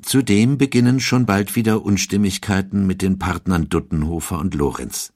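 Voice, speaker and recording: A man narrating a scripted historical documentary in a measured, even storytelling manner. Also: sits low, no room sound, clean studio sound.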